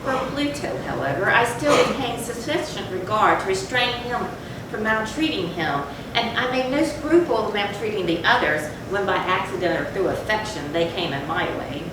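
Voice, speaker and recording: A woman playing a tormented, confessing animal lover turned cruel drunkard, in a deliberate, formal, theatrical reading of a gothic horror story. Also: mid-range voice, animated, lightly acted. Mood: grim, regretful. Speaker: readers theatre performer. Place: large echoey room, far from the mic. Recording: very echoey, distant, noisy live hall recording.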